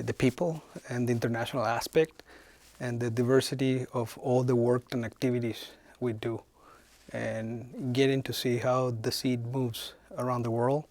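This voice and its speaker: A middle-aged man speaks in a thoughtful, unhurried way and often hesitates mid-sentence. His voice sits at an ordinary middle pitch. The recording is dry, with almost no room sound. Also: a little mellow, no room sound. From Chile. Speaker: head of human resources. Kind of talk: interview answers.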